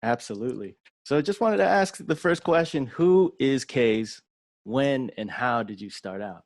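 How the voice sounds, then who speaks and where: A man hosting an interview, asking friendly, rambling questions in a relaxed way. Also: medium pitch, moderate pace, no room sound, clean studio sound. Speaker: interview host. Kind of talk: casual interview.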